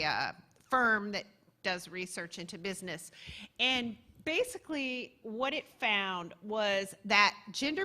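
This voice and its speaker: A woman's voice: a little high, pushed and bright. A woman moderating a panel and presenting research in a conversational way, with frequent fillers.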